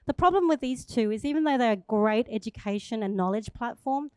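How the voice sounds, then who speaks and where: Upbeat Thai woman pitching on stage. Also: lively intonation, brisk, clear, resonant tone, no room sound, studio quality. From Thailand. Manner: upbeat, chatty, laughs at herself. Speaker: startup founder pitching.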